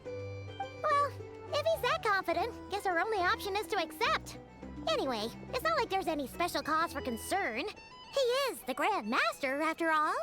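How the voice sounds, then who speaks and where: A woman voicing a small, childlike, excitable fairy companion in a video game, with a squeaky voice and chatty, exclamatory delivery. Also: normal speed. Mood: cheerful, excited. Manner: refers to self in third person, exclamatory. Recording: music behind the voice.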